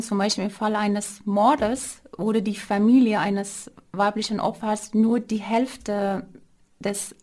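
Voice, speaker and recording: A woman's voice: medium pitch, mellow. A woman from Iran with an Iranian accent, explaining things as a guest in an interview.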